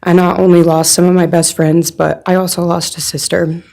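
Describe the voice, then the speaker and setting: A woman's voice: on the low side, little pitch movement, normal speed, creaky, a little mellow. A young woman reading a written personal statement aloud in a courtroom on someone else's behalf. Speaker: friend reading a victim's statement on their behalf.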